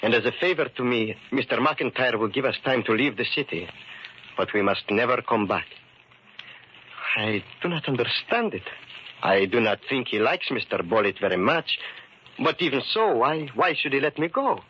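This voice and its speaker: An actor playing a humble immigrant shoemaker, speaking formally and haltingly in a 1940s radio drama. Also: male voice, mid-range voice, animated, natural voice. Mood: earnest, puzzled. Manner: formal, uncontracted, halting phrasing. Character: humble immigrant shoemaker husband, earnest and resigned. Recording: muffled, noisy 1940s radio recording.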